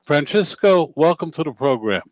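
A male radio host interviewing a guest, talking with many fillers and halting restarts. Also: a little high, animated, dry recording, muffled recording.

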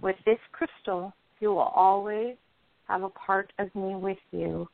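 Woman acting a slow, tender, dreamy actress. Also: medium pitch, steady tone, creaky. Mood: wistful. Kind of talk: amateur radio play acting. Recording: noisy, tinny phone-like sound.

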